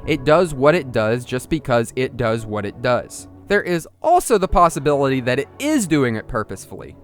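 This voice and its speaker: A man's voice: mid-range voice, sing-song, normal speed. A man narrating an analytical video essay in a musing, explanatory and partly conversational manner. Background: music under the voice.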